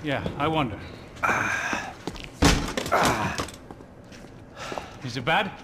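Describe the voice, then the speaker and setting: A man's voice: mid-range voice, animated, fast talker, heavy background noise, lightly acted. An actor playing a cocky, wisecracking male bodyguard in a video game cutscene, teasing and casual like a brother.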